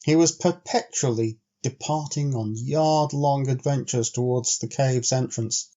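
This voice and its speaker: A man reading literary narration as a storyteller, in a measured, deliberately phrased, actorly way. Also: mid-range voice, mellow, muffled recording. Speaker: audiobook storyteller.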